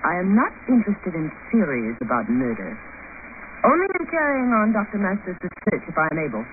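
A woman's voice: fairly low pitch, very animated. Female doctor, composed and guarded, formal 1950s radio drama delivery, crackly narrow-band recording. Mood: guarded, cool. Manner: formal, cool, deliberate. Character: poised, guarded female hospital doctor and researcher. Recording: noisy, tinny old radio broadcast.

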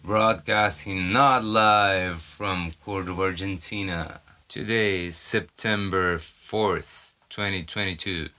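Deep, slow Brazilian man rambling. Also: steady tone, sharp tone. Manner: rambling, halting, with many fillers and restarts. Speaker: podcast host. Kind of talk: casual solo podcast monologue. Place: off-grid home in the mountains. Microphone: cell phone.

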